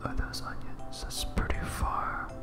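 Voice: unhurried, loud music behind the voice. A person whispering a hesitant, absent-minded ASMR playthrough of a video game, thinking aloud close to the microphone. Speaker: gaming video host.

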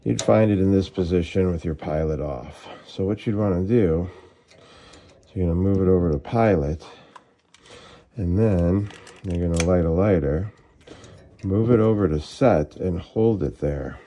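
A man working as a heating technician, explaining a furnace repair step by step in a relaxed, plain-spoken way, recorded on a handheld phone beside a gas furnace. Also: deep voice, unhurried, a little hiss or hum. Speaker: HVAC technician. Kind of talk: casual how-to explanation. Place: utility room at a gas furnace.

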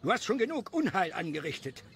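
Bossy priest for an audio story, played by a man: high male voice, very animated, imperious and scolding. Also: brisk, full character voice. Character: stern, bossy village priest.

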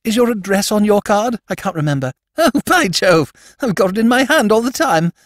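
A man reading aloud, voicing a weedy, prattling young English lord in a high, gushing voice. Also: expressive, normal speed, dry recording, studio quality. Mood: flustered gushing. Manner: high-pitched, breathless, gushing.

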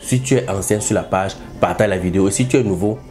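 A man's voice: deep voice, fast talker, over music. A man hosting a commentary show, speaking emphatically and repeating his points. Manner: repetitive, emphatic. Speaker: host. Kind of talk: commentary monologue.

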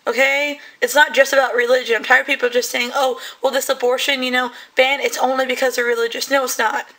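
A woman hosting her channel, giving heated, rambling commentary in a hoarse voice that is still coming back. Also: sits high, little pitch movement, fast talker, pushed and bright. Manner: heated, rambling, many fillers. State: hoarse, voice recovering. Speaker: channel host. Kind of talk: casual commentary.

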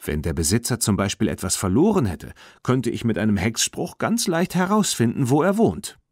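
A man voicing a bright, hopeful young girl who loves horses in a children's audiobook, lively and thoughtful. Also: medium pitch, normal speed, dry recording, clean studio sound, pitched above the performer's own voice. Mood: keen.

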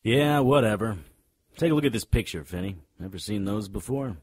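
Sardonic tough-guy noir detective, very deep, dry close sound, for radio drama. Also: lively intonation, normal speed, darkish tone, husky, no room sound. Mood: sardonic, threatening. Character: hard-boiled male private detective, sardonic and threatening.

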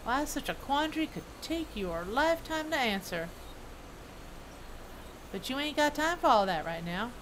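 A folksy cowboy gnome acted out by a woman, fairly high-voiced and theatrical. The delivery is full of straight-shooting Western bravado for a game quest. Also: sing-song, moderate pace, long pauses, a bit bright, a little hiss or hum. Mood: folksy, boastful.

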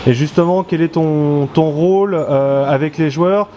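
A man's voice: fairly high pitch, measured pace. A male interviewer asking questions, often stammering and repeating words. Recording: noisy background.

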